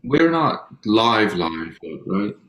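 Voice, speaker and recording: A man's voice: on the low side, big pitch swings, slow, a bit bright. A man chatting casually and informally on a video call, asking practical questions of the group. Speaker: participant in a virtual staged reading. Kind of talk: casual chat. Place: indoor room, remote video call. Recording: echoey room on video call.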